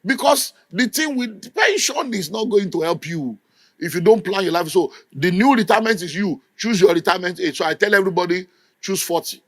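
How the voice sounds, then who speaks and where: A man's voice: high-pitched, lively intonation, a little fast, dry recording. A middle-aged Nigerian man preaching a sermon with an emphatic, scolding delivery. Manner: emphatic, scolding, mimics people in quotes. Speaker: pastor.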